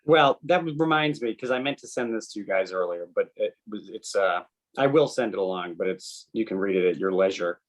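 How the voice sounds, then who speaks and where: A man's voice: on the low side, expressive, a little fast. Rambling man at a committee meeting. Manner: rambling, loose asides. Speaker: committee member. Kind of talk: meeting discussion, reading an email aloud. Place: public meeting room.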